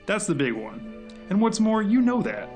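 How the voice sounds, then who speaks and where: A man playing a gruff, by-the-book agent in an audio drama, curt, dry and exasperated. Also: high-pitched, sing-song, normal speed, over music. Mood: stern, official. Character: gruff, weary, by-the-book male agent in charge.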